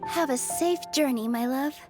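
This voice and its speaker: Casting: devout young dancer girl for a game, voiced by a woman, high and gentle, earnest storyteller, music underneath. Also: moderate pace, lightly acted. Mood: reverent. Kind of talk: video game character dialogue. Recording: music behind the voice.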